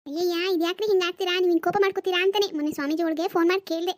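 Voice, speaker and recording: Casting: performer to play a scatterbrained cartoon mother and wife, extremely high-voiced, quarrelsome, full of nagging exclamations. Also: steady tone, racing. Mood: defensive. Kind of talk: comedy cartoon dialogue.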